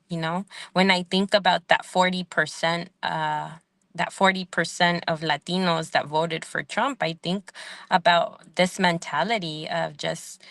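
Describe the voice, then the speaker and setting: A woman who is a community organizer and professor, speaking as a podcast guest, thoughtful and measured, often trailing off with "um" and asking "right?". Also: fairly low pitch, gravelly, fairly bright tone, dry recording. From Salvadoran family, living in the United States. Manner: thoughtful, frequent "um" and "right?" tags. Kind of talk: podcast interview.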